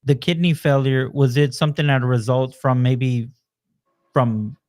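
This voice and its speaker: A man's voice: mid-range voice, fairly even intonation, moderate pace, darkish tone. Rambling, easygoing male podcast host. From Texas. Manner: loose, rambling questions with many "you know"s. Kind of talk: casual podcast interview.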